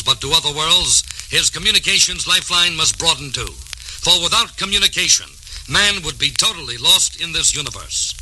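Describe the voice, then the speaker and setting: Bright, forceful American male announcer. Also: on the high side, slight background noise, rough recording. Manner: dramatic, formal announcer delivery. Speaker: narrator. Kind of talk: scripted 1950s documentary promotional narration. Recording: old vinyl record with music bed.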